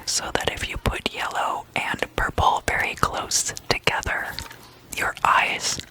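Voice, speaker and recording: An ASMR host whispering a guided meditation lesson in a soft, breathy, unvoiced voice, unhurried and often repeating words softly. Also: rough recording.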